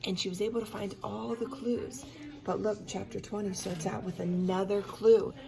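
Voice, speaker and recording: A woman's voice: mid-range voice, fairly even intonation, normal speed, vocal fry, low-quality recording. A woman reads a children's story aloud expressively, engaging young listeners with teacherly asides and questions.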